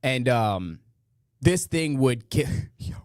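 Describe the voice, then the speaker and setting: A man with a rough voice hosting a podcast, chatting casually in rambling, slangy, profane talk to a live audience online. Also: medium pitch, animated, slow, no echo, clean studio sound. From northeastern United States. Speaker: podcast host. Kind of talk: casual live chat, reading listener comments.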